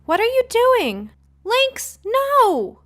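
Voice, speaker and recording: A woman voicing an excitable teenage girl in an audio short story, urgent and exclaiming. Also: extremely high pitch, drawn-out words, a little hiss or hum, pitched above the performer's own voice, full character voice. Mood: scolding. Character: excitable teenage girl heroine on Halloween night.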